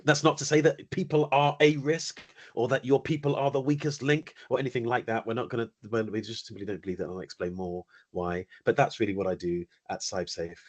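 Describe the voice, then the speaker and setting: A middle-aged man with a British accent talks in a husky, lowish voice. He is articulate and measured, with a dry, understated wit. He is a guest on a webinar over a video call. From United Kingdom. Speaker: company founder, guest. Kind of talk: webinar interview answers.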